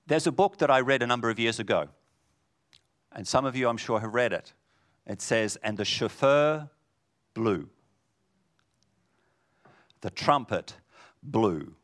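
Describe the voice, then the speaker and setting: A man preaching a sermon in a booming voice, swinging from loud declarations to hushed lines. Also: animated, unhurried, long pauses.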